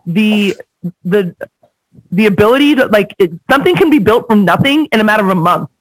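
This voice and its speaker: A man from California hosting a podcast, chatting in an animated, easygoing way. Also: sits high, a little fast, dry recording, low-quality recording. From California, United States. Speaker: podcast host. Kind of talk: casual podcast conversation.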